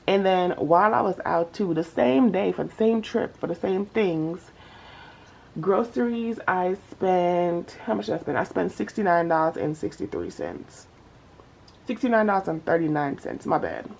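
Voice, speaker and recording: A woman's voice: on the low side, gravelly, darkish tone, husky. A young woman with a stuffy nose chatting casually to camera about her spending, slangy and addressing viewers as y'all. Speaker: budgeting vlogger.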